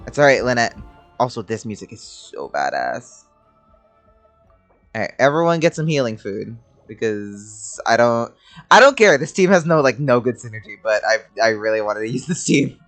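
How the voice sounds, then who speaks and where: A young adult game streamer talks casually while playing. The voice sits at a middling pitch but swings widely, exclamatory and prone to swearing. Game music runs faintly underneath. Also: big pitch swings, moderate pace, a bit bright. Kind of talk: casual reactive gameplay commentary. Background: video game music and combat.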